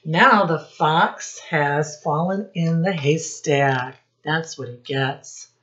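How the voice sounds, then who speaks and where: Female storytime teacher, middle-aged, very unhurried, instructive delivery with questions for kids; slightly muffled home webcam recording. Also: low-pitched, a bit bright. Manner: instructive, prompting listeners with questions, drawn-out emphasis on key words. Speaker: children's storytime teacher and reader. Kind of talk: read-aloud picture book lesson for young children. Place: small room indoors. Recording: dull, lo-fi webcam sound.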